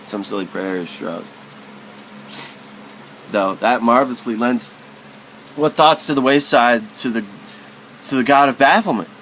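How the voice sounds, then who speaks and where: A man giving a literary spoken-word recitation in a theatrical, halting, wordy way, stumbling and repeating himself. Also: medium pitch, fairly bright tone, a little hiss or hum, rough recording.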